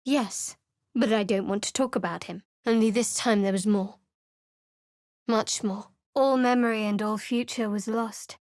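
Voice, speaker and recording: A woman's voice: medium pitch, steady tone, unhurried, darkish tone, no echo, close to an everyday voice. An actress voicing a guarded, sardonic young woman cleric with secrets, in studio game voice lines, with a dry, wry and cool way of speaking. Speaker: companion character in a video game.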